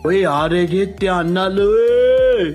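An actor playing an excitable Punjabi soldier, calling out drawn-out, exclamatory squad commands for a game. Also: male voice, high voice, faint music behind. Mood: alarmed, frantic. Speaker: game voice pack performer.

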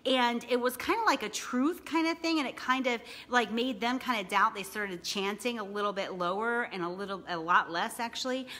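A woman's voice: a little high, moderate pace, few pauses, bright, forceful tone. A woman in her thirties talking casually to a phone camera from her couch at home, chatty and full of "right?" and "you know". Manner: chatty, many fillers and "right?" tags. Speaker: small business coach. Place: living room at home, seated on a couch. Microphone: phone camera at arm's length.

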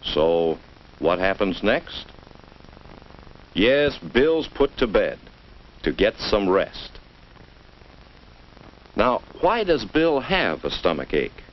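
A man with an American accent narrating a 1950s instructional film in a measured way, as if speaking directly to a child. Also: fairly low pitch, very animated. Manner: measured, addresses the child directly with rhetorical questions. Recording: dull, noisy vintage educational film soundtrack.

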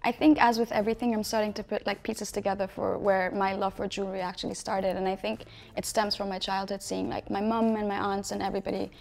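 Young woman from Nepal, gravelly edge. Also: medium pitch, flat delivery, fast talker, few pauses, darkish tone, a little hiss or hum. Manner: wry, self-mocking, laughing storyteller. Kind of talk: casual interview chat. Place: dark studio set, indoors.

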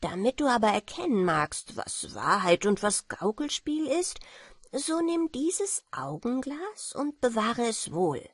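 A woman's voice: on the high side, very animated, unhurried, breathy edge, dry recording, studio quality, exaggerated voice. A woman voicing a small, solemn dwarf king from a fairy tale, pleading in earnest, formal speech. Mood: cautioning, instructive.

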